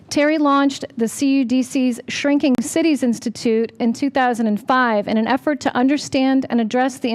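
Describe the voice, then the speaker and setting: A woman welcoming the audience and reading announcements at a public library forum, with a loud voice and careful, deliberate delivery of dates and times. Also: high-pitched. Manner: says she has a loud voice. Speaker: library communications manager introducing a forum. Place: public library meeting room.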